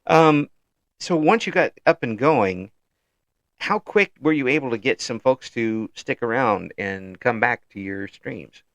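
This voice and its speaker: An older man hosting an interview in an easygoing chat, often pausing with ums and restarting his sentences. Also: mid-range voice, animated, gravelly, a bit bright, no room sound. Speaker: interview host.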